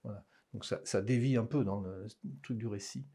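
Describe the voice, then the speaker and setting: A man in his sixties with a Parisian French accent, an author talking about his writing in a hesitant, halting way in a casual interview. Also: lowish voice, mellow, breathy edge. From France. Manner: hesitant, halting, many restarts.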